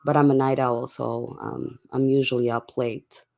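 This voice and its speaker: A livestream host chatting casually and in a laid-back way to viewers late at night, with a voice going hoarse from a cough. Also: mid-range voice, little pitch movement, moderate pace, darkish tone. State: sick with a cough, voice getting hoarse.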